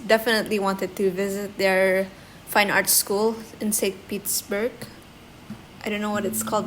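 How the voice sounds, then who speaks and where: A woman's voice: mid-range voice, poor audio. A young woman from Indonesia, whose first language is Indonesian, chatting casually through a Q&A voice-over with a giggly, self-deprecating manner. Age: young adult. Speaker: artist vlogger. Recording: music playing behind. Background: soft guitar music.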